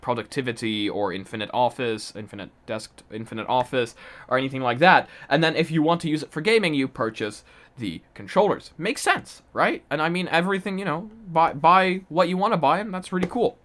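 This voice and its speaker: A man's voice: a little high, lively intonation, a little fast, fairly bright tone. A young man from Poland chatting casually on a live stream, reading chat aloud and laughing often.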